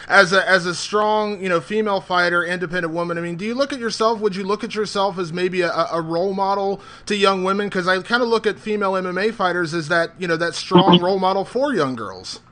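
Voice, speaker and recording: Bright, forceful man asking questions. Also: high voice. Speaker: reporter. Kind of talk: press questions.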